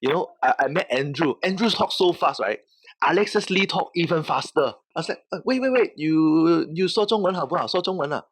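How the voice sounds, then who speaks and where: A man's voice: fairly high pitch, lively intonation, brisk, slight background noise. Casual man with a Hong Kong accent. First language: Cantonese. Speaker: professional wrestler, interview guest. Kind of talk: casual storytelling.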